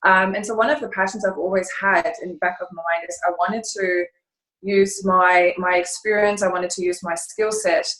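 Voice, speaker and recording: A woman's voice: lowish voice, fairly bright tone. A middle-aged woman from Germany with a German accent, answering interview questions in a thoughtful, hesitant way, on a video call from home. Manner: thoughtful, searching for words, frequent hesitations. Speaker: guest, consultancy founder. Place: home room by a fireplace. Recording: echoey room at home.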